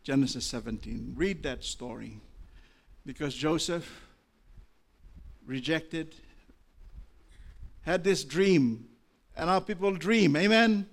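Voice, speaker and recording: An Australian pastor preaching with a gravelly, slightly raised voice. He moves between low, measured storytelling and loud, impassioned peaks. His words come in short, repeated phrases. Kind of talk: preaching a sermon.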